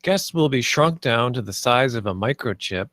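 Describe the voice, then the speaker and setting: A man's voice: medium pitch, expressive, moderate pace, fairly bright tone, no room sound, clean studio sound, natural voice. A man playing an eager, nervous theme park designer in a script table read, sounding like a slightly hesitant salesman. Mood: nervous salesmanship.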